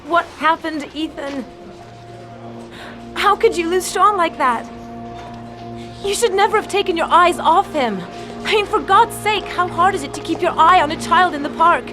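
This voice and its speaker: Very high-pitched, anguished mother. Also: normal speed, pauses often, music in the background. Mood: reproachful, anguished. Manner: emotional, accusing. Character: anguished, reproachful mother, estranged wife. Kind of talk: dramatic video game acting.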